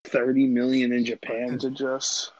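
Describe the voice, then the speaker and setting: A man's voice: medium pitch, little pitch movement, measured pace, a little mellow, dry recording, highs cut off. A man co-hosting a podcast in casual, profane banter, using a lot of bro-style slang. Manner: slangy, says "bro" often. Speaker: podcast co-host.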